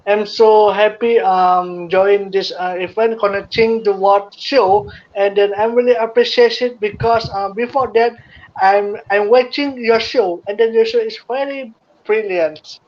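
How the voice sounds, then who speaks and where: A woman with an Indonesian accent speaks as a guest in an online interview. She stops and restarts often, filling her gaps with "um" and "you know," in a voice of ordinary pitch. The audio is muffled and lo-fi, like a video call. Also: fairly even intonation, unhurried. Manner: hesitant, many fillers and restarts.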